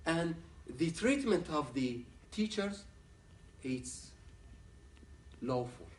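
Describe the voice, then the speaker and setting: A man from Ahwaz gives a conference lecture as a human rights activist. He speaks in earnest, halting English. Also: on the high side, expressive, slight background noise. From Ahwaz, southwestern Iran. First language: Arabic. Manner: earnest, plain-spoken, halting non-native English. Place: university lecture room. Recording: echoey room, picked up from a distance.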